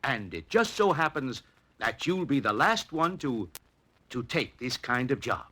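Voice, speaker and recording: A man's voice: medium pitch, big pitch swings, unhurried, noisy background. A man playing an unctuous, falsely kindly crooked boss in 1940s-style radio drama. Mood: oily, falsely kind. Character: smooth-talking crooked male boss feigning benevolence.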